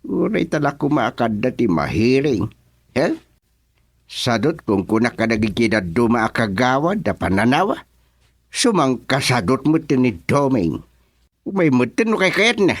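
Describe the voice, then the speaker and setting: A man's voice: mid-range voice, big pitch swings, moderate pace, no echo. An actor with an Ilocano accent playing an exasperated, blustery village chairman in a comedic radio drama. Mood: scolding. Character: exasperated male village chairman presiding over a hearing.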